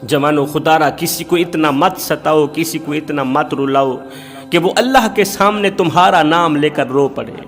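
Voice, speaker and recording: A male preacher delivers a sermon woven with moral storytelling. His voice sits high and carries a long hall reverb, and he stresses points through emphatic repeated phrases. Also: normal speed. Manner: emphatic, rhetorical repetition.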